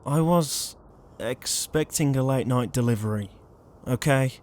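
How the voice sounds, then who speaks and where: Man playing a flustered, slow-talking ghost. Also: medium pitch, a little mellow, close to an everyday voice. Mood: exasperated, urgent. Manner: flustered, hesitant, pleading. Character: exasperated, conscientious male ghost, murdered shut-in. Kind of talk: amateur comedic audio roleplay.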